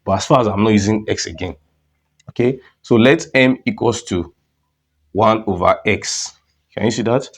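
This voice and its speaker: A man with a cold teaching a maths lesson, explaining step by step and putting questions to the students. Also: on the low side. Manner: repeats words and restarts phrases. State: has a cold.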